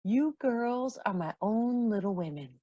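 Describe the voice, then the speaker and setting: A woman's voice: a little high, animated, unhurried, dry recording, muffled recording. A woman acting a caring, middle-aged mother in a radio play. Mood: earnest, kindly. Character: caring, generous mother, a singer struggling with money.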